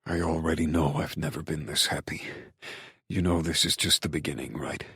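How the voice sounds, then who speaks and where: A man performs a commanding romance hero for an audiobook, with a very deep voice and a dry, close sound. He is possessive yet devoted, speaking in a firm, intense, hushed growl. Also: steady tone, normal speed, slightly rough, dry recording, exaggerated voice. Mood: tender, devoted. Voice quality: low growl. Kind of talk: audiobook romance character acting.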